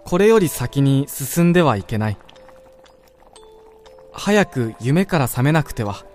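A man playing a wistful dreamer in a radio drama, telling of his own dream in a measured, reflective first-person narration. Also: medium pitch, very animated, darkish tone. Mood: uneasy, resisting. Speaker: narrator-protagonist. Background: background music.

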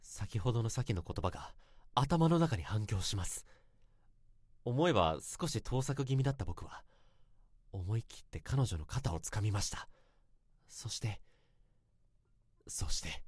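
A man's voice: fairly low pitch, expressive, unhurried, pauses often, darkish tone, slightly rough, no echo, clean studio sound. Self-conscious young man, expressively confessing. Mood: hesitant, tense. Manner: formal, polite, earnest confession. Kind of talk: radio drama confessional monologue.

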